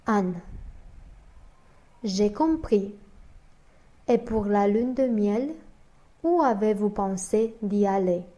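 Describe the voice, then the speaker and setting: A woman slowly reading a scripted learner dialogue aloud, naming each speaker before their line. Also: medium pitch, warm tone. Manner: slow, deliberate, reads both parts and announces each speaker.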